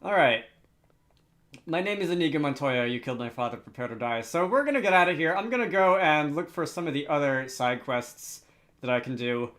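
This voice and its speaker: A man's voice: fairly high pitch, lively intonation, a little fast, fairly bright tone. A man giving casual commentary on a game as a let's player who reads its dialogue aloud.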